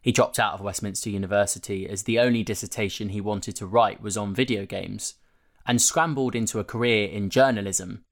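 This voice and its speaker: A man's voice: lowish voice, steady tone, a little fast. A man reading a written magazine interview aloud as scripted narration. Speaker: narrator reading a newspaper feature. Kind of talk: scripted narration of a print interview, with quotes read out.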